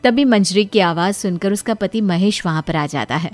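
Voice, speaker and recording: A woman's voice: medium pitch, normal speed, faint music behind. A woman narrating a scripted moral story as a storyteller.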